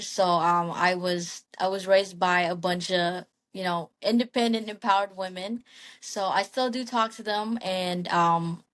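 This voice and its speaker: A young woman from the Philippines, a singer and guest, talking casually in an interview with frequent ums and restarts. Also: medium pitch. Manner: soft-spoken, frequent ums and restarts, light laughs.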